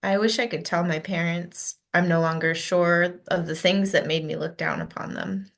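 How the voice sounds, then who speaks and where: A woman acting a gentle, measured, gravelly confidante. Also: fairly low pitch, fairly even intonation. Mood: reflective, candid. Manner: measured, literary phrasing. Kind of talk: informal script table read.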